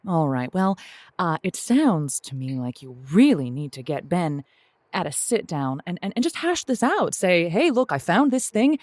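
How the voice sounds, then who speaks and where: A woman playing a kindly, flustered advice show host who speaks haltingly, with wry tact, as in an improvised comic call-in show. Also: fairly low pitch, big pitch swings, darkish tone, dry recording. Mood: wry, advising. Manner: halting, wry, tactful, stammering over delicate words. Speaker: radio host.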